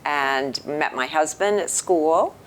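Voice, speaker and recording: Low-voiced older woman, thoughtful guest. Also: takes it slowly, slight background noise, low-quality recording. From New Hampshire, United States. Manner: thoughtful, earnest, with frequent ums. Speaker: interview guest. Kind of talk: conversational interview answers.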